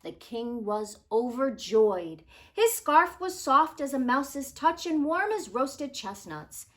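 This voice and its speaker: A woman reads a children's story aloud with expressive, dramatic phrasing. Her voice is bright and fairly high, and she takes her time over the words. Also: takes it slowly. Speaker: storybook reader.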